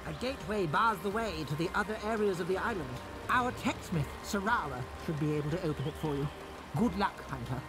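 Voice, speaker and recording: A man's voice: high-pitched, lively intonation, fairly quick. A man playing a jolly, encouraging village guide in a video game. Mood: helpful. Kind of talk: video game character acting. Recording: music behind.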